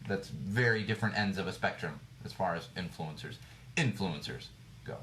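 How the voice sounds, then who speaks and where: Indignant man ranting, slightly hoarse. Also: low-pitched, normal speed, bright, forceful tone, echoey room, a little hiss or hum. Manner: indignant, blunt, repeats phrases for emphasis. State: slightly hoarse, losing his voice. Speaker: podcast host. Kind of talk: opinionated news commentary rant.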